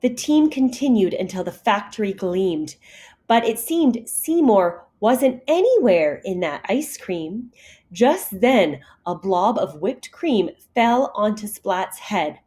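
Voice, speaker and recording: A woman reading a picture story aloud to kindergartners in an expressive, deliberate teacher's manner. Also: medium pitch. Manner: animated, deliberate storytelling for young children.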